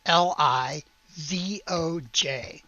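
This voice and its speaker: A woman with a slightly husky, gravelly voice running a tabletop roleplaying game as game master, moving between casual talk and read-aloud narration. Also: low-pitched, extremely slow, bright, forceful tone, dry recording, muffled recording.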